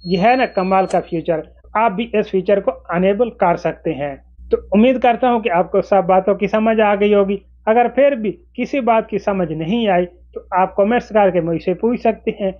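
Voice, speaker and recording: Male tutorial presenter, forties, high voice, instructional step-by-step delivery, slightly dull lo-fi recording. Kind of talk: explanatory phone tutorial to camera. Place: small indoor room with desk.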